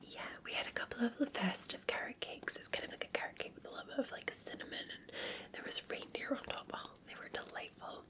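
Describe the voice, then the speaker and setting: A Scottish woman speaking in a soft, breathy whisper, gently and soothingly chatting to the listener as a barista in an ASMR roleplay. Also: fast. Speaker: ASMR performer playing a cafe barista. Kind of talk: ASMR roleplay, unscripted-sounding chat to the listener.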